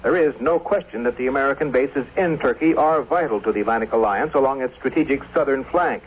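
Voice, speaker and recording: A man's voice: medium pitch, fast, breathy edge. A man filing a scripted foreign news report over a tinny line on vintage radio.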